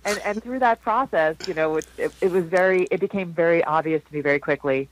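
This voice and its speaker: A man in his forties from South Florida, a jazz singer with a low, slightly husky voice, telling rambling stories in a casual interview with frequent restarts. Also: quick pace, dry recording, low-quality recording. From South Florida, USA. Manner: rambling, warm anecdotes with frequent restarts and laughs.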